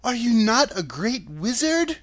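A man's voice: extremely high pitch, expressive, takes it slowly, no echo, pitched above the performer's own voice, exaggerated voice. A man voicing a bold, earnest young farm girl in a storybook, plain-spoken and insistent. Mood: surprised.

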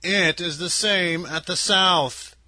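A reader doing a slow, solemn Munchkin man. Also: mid-range voice, pushed and bright, dry recording, voice pushed up, heavily acted. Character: small male Munchkin, grave and slow.

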